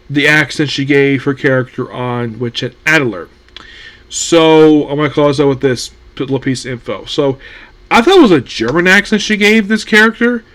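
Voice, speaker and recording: Solo anime news host, male, slightly high voice, rambling delivery with frequent fillers. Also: fairly bright tone. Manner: rambling, many fillers and restarts. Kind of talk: casual solo commentary.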